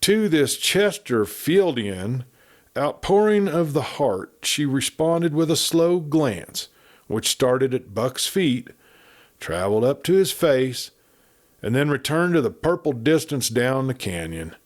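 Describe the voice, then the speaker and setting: A man reading a Western novel aloud in a low, measured storytelling voice. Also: very animated. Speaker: audiobook narrator.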